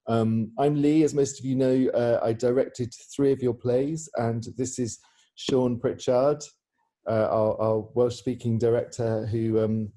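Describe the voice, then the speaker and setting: A man with a very dark, relaxed tone and a middling pitch hosts an informal chat on a video call. He feels his way through questions with hesitant pauses, like a director leading a casual discussion. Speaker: theatre director hosting a Q&A. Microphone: video call microphone.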